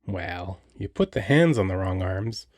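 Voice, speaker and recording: A man's voice: sits low, lively intonation, unhurried, no echo, studio quality. A man playing a cheerful, flirty Christmas elf toymaker in a romantic audio roleplay, chatty and teasing with the listener. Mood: playful, teasing. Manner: playful, teasing, bubbly, chatty.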